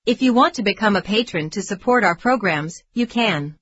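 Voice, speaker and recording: A woman's voice: medium pitch, expressive, normal speed, breathy edge, no room sound. A woman reading a scripted podcast announcement. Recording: dry, slightly dull sound.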